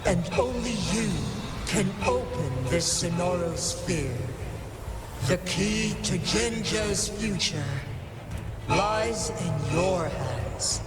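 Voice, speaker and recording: An actor playing an ancient, solemn dragon deity in a video game, speaking slowly and formally. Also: male voice, high voice, lively intonation, exaggerated voice. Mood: solemn, grave. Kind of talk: dramatic video game voice acting. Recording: long cavernous reverb, loud music behind. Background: game music.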